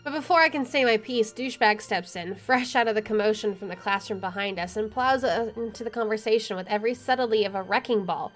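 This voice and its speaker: An expressive woman playing a game, reading its narration aloud and adding casual, opinionated commentary. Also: mid-range voice, fast talker, hardly any pauses. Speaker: let's player. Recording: faint music underneath.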